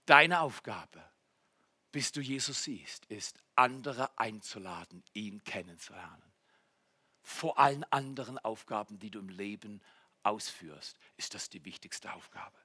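A man in his forties preaching a sermon in church, jumping from loud exclamations to hushed near-whispers and speaking straight to the congregation. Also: fairly low pitch, lively intonation, unhurried, breathy edge, no room sound, studio quality. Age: 40s. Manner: addresses the congregation directly with jokes and questions. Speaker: pastor.

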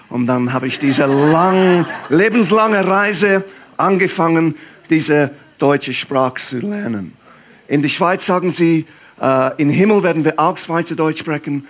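A man from England, a guest pastor, preaching in German with an English accent, lively and halting with fillers. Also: a little high. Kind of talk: sermon.

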